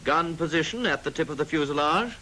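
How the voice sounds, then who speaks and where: A British man with a fairly high, forceful voice narrates a wartime instructional film. His delivery is clipped and scripted, with dry little asides. The 1940s soundtrack carries some faint noise. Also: animated, brisk, low-quality recording.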